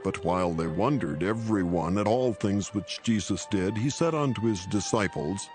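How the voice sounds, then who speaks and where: Scripture narrator, male: lowish voice, measured, solemn, formal delivery with faint music underneath. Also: darkish tone.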